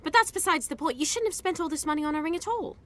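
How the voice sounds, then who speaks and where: A sardonic young noblewoman in a modern romantic comedy audio drama. Her voice sits high and moves animatedly up and down. She is exasperated, principled and quick to scold. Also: lively intonation.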